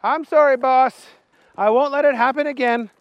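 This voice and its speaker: A man putting on a high, bewildered clumsy fry cook. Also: fairly even intonation, moderate pace, dry recording, lo-fi sound. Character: clumsy dim-witted young male fry cook.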